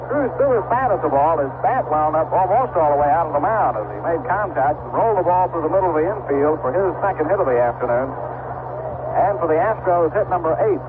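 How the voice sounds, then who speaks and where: An American man calling baseball play-by-play for radio in short, clipped, measured phrases. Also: fairly high pitch, animated. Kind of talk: live radio play-by-play commentary. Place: ballpark radio broadcast. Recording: noisy vintage AM radio broadcast, narrow band.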